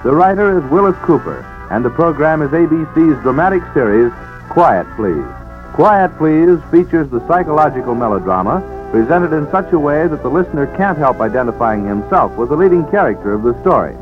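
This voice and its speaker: A male announcer reads scripted radio copy in a formal 1940s manner. His voice sits a little high. Music plays behind him on a tinny old broadcast recording. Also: sing-song, fairly quick. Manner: formal, polished.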